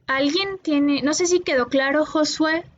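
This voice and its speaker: A Mexican woman tutoring linguistics online, explaining patiently and pausing often with "eh". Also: sits high, muffled recording. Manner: patient, explanatory, with many "eh" pauses.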